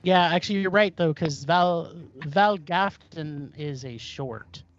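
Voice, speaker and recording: Upbeat high-voiced man hosting. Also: slow, lo-fi sound, soft background music. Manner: enthusiastic, stumbles over foreign titles. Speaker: podcast host. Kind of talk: casual movie podcast chat.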